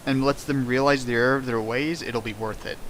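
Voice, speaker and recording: Bright-voiced young man reading sarcastically. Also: medium pitch, fairly even intonation, a little fast, rough recording. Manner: sarcastic, jokey, laughs while reading. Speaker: reader and riffer of a fan fiction. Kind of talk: comedic dramatic reading with riffing.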